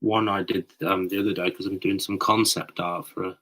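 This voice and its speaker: A man with a deep voice and a bright, forward tone talks as a podcast guest. He sounds slightly croaky and explains his work in a loose, chatty way full of fillers. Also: flat delivery, a little fast. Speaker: guest photographer. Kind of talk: casual conversational explanation. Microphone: voip call.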